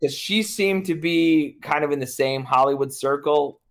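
A man's voice: fairly high pitch, moderate pace. A young American man, a guest in a casual podcast chat, rambling and swearing, with jokey acted-out quotes. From United States.

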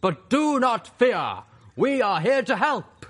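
A man voicing an undead armored warrior pony in an audiobook, thunderous, shouting and grandly formal. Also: very high-pitched, very animated, slow pace, husky, pitched above the performer's own voice, full character voice. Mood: loud, proclaiming. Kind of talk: audiobook character voice.

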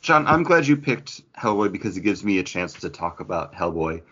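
A man with a fairly low voice co-hosts a podcast. He speaks in casual, conversational chat with his fellow hosts. The audio sounds a little dull. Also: very animated, normal speed, fairly bright tone, husky. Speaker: podcast co-host.

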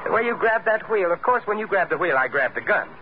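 An actor playing a suave, wry adventurer in an old-time radio detective drama, urbane and dryly bantering. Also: male voice, high-pitched, sing-song, fast talker. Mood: playful, excited. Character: suave, wry male adventurer, coolly teasing. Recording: muffled old radio recording, faint hiss.

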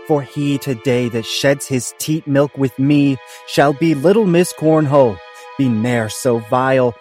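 A man's voice: fairly high pitch, a little mellow. A man playing a grandiloquent, mock-solemn hero declaiming in a comic audio play. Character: grandiloquent man giving mock-Shakespearean heroic speeches. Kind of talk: comic amateur audio drama.

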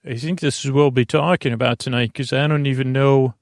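Male sleep podcaster, gravelly fry, dry close sound, halting and goofy improvised rambling. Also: mid-range voice, fairly even intonation, no room sound. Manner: meandering, halting, trailing off and repeating words; silly, goofy tangents. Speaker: podcast host.

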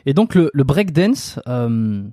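A man in his thirties hosting an interview, asking questions in a halting way with many restarts. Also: mid-range voice, sing-song, warm tone, no echo, clean studio sound. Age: 30s. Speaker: interview host. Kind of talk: casual interview chat.

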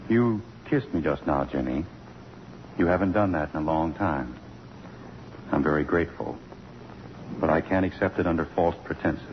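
An actor playing a contrite middle-aged college president, solemn and formal, in radio drama style. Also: male voice, rumbling low, normal speed, long pauses. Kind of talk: 1950s radio drama acting. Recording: muffled, noisy old radio broadcast.

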